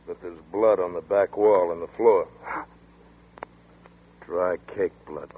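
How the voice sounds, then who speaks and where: An actor playing a tough, contemptuous detective with a husky, clipped delivery in a 1940s radio drama. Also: male voice, low voice, steady tone, slow pace, heavily acted. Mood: calm, grim. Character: hard-nosed tough detective brother-in-law. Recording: tinny lo-fi 1940s radio broadcast.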